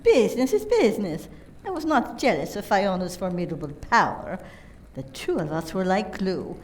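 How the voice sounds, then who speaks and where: Lady playing proud businesswoman in a radio mystery, medium pitch, pointed, bit of noise. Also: very animated, normal speed, natural voice. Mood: proud, accusing. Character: cool, proud businesswoman murder suspect. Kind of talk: community theater radio play acting.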